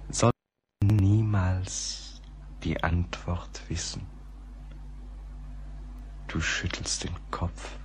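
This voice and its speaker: An actor in a German radio play, playing a haunted, melancholy poet in a brooding, halting, intimate monologue. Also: male voice, low voice, a little hiss or hum. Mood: tender, haunted. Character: melancholy, haunted poet musing to someone lost.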